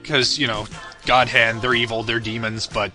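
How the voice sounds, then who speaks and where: A man's voice: mid-range voice, level tone, normal speed, bright, forceful tone. A man giving casual, unscripted creator commentary on his parody series in a rambling, self-deprecating, chuckling way. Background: music and audio of the video being discussed.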